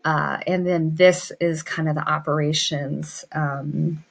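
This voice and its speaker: A woman with a low-pitched voice leads an informal demo for learners. She talks casually, thinking aloud as she works through each step. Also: fairly even intonation, slow pace, creaky. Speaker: floral designer and business course instructor. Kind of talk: informal tutorial walkthrough of a pricing demo.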